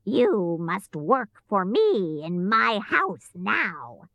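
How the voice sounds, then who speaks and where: A woman voicing a wicked, greedy storybook witch with a drawn-out, commanding and menacing delivery. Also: medium pitch, big pitch swings, gravelly, dry recording, highs cut off, exaggerated voice.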